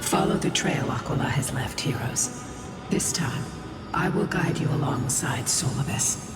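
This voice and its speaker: Game spirit: solemn, gentle half-whisper, unhurried, far off in a hall. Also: female voice, sits low. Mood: weary, solemn. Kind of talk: hushed video game voice acting. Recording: distant echoing hall under loud music.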